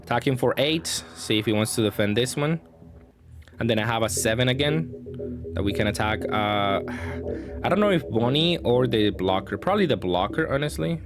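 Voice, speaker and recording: A man's voice: medium pitch, animated, moderate pace, over music. A man giving casual card game commentary, thinking aloud and hedging his choices as he plays.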